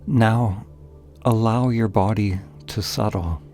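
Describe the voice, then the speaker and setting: A man narrating a guided meditation in a calm, gentle, deliberate way, leaving pauses between phrases. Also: fairly low pitch, soft background music.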